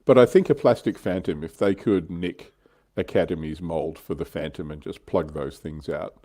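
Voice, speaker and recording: A man's voice: deep voice, normal speed, dark tone. A man co-hosting a hobby livestream, chatting casually in a thoughtful, slightly hesitant way.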